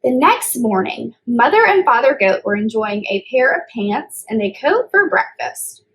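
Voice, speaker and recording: A woman speaks in a high, very animated voice at a slow pace. She leads story time for young children, putting deliberate emphasis on key words. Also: low-quality recording.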